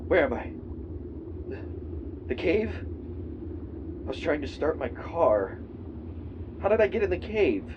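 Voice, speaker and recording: An actor playing a podcaster lost in the wilderness in an audio drama, narrating haltingly to his recorder as he grows frightened and paranoid. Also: a little high, very animated, muffled recording, noisy background. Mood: disoriented, scared. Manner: halting self-narration, swearing. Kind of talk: found-tape audio drama monologue.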